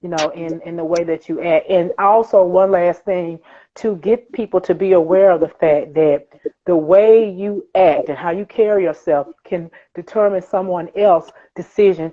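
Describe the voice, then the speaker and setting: Southern woman on a thin, phone-like line. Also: lowish voice. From Mississippi, United States. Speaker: author and playwright guest. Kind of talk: conversational interview explanation. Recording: thin phone-like online call audio.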